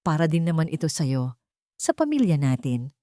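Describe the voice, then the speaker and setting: A woman's voice: sits low, big pitch swings, moderate pace, dark tone, no room sound, clean studio sound. A woman with a Filipino accent playing a smug, greedy, falsely tender husband in an audio drama. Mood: false tenderness.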